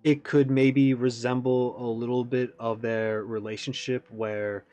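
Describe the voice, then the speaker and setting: A man giving a casual, animated reaction commentary, thinking aloud as he analyzes like a game fan. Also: mid-range voice, a little slow, soft background music.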